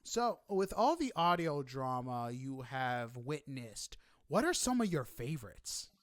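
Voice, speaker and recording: A man hosting a podcast, chatting casually with a guest in an animated, emphatic and informal way. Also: medium pitch, unhurried, dry recording. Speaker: podcast host interviewing guests. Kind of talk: casual interview chat.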